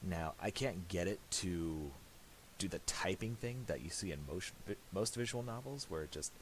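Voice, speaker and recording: A man presenting a casual software tutorial in a halting, mumbled way, on a hissy home recording with clicks. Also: low-pitched, lively intonation, poor audio.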